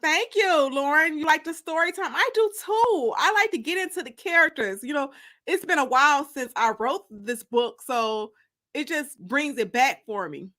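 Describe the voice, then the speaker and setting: An expressive, theatrical woman hosting story time, moving between dramatic narration and casual chat. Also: high-pitched, normal speed. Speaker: author and host of live story time.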